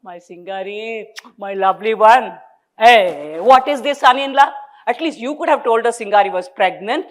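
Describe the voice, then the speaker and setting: A woman voicing a doting older mother who gushes and exclaims loudly. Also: medium pitch, a little slow, close to an everyday voice. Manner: gushing, exclaiming.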